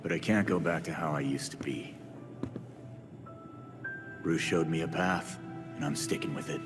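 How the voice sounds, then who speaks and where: An actor playing a tough, brooding young American vigilante in a video game, blunt and slangy. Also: male voice, extremely low pitch, fairly even intonation, moderate pace, over music. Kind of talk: video game cutscene acting.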